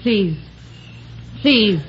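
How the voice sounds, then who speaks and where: An actress playing an anxious, pleading sister in a 1950s radio drama, earnest in tone, heard on a dull old broadcast recording with music behind. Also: female voice, medium pitch, expressive, drawn-out words, slight background noise. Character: anxious pleading sister, middle-aged woman.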